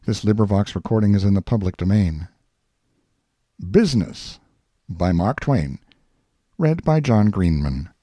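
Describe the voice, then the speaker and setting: A man with a gravelly voice reading a literary work aloud in an expressive, deliberate, measured way. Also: lowish voice, dry recording. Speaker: audiobook reader.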